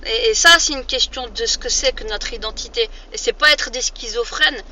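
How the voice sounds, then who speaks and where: A woman, giving an informal, emphatic opinion monologue to viewers, often halting and repeating phrases to drive a point home. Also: a little high, pushed and bright. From France. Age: 40s. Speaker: vlogger addressing viewers. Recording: muffled lo-fi audio with faint noise.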